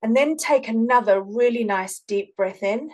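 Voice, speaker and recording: A woman healer speaking over a video call, with a voice a little higher than most. She gushes and repeats herself when chatting, then turns soft and slow when she guides the group. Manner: effusive, repetitive, soft-spoken when guiding. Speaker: guest energy healer. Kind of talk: casual chat then guided meditation.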